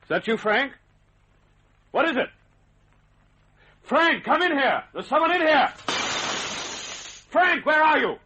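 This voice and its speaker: An actor playing a nervous, clipped, easily alarmed research scientist in an old radio drama. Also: male voice, extremely high pitch, very animated, takes it slowly, pauses often, noisy background, exaggerated voice.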